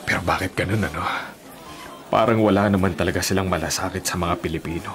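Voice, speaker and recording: An actor playing a sympathetic, indignant Filipino delivery rider, an older friend, in a plain-spoken radio drama delivery. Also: male voice, low voice, fairly even intonation, quick pace, fairly bright tone, soft background music. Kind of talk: Tagalog radio drama acting.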